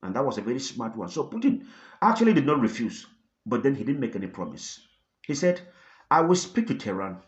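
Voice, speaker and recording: A man who works as a content creator, giving dramatic, storytelling news commentary to viewers with emphatic pauses. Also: medium pitch, very animated, muffled recording.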